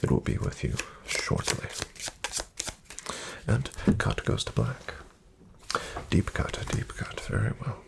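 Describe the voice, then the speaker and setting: A man playing a courteous, composed casino dealer in a soft-spoken ASMR roleplay, with formal phrasing and a breathy near-whisper. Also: fairly low pitch, huge pitch swings, background noise, full character voice. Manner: formal, polite, measured phrasing.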